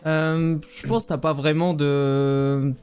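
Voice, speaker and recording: A man who works as a native mobile app developer, talking casually as a guest in an interview, relaxed and informal with frequent hesitations. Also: on the high side, slow pace, clear, resonant tone, soft background music. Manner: relaxed, informal, many fillers.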